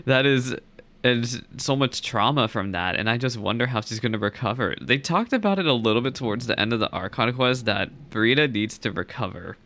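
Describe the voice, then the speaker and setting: A man's voice: medium pitch, animated, fairly quick, fairly bright tone. A young man hosting a gaming reaction video with informal, rambling commentary.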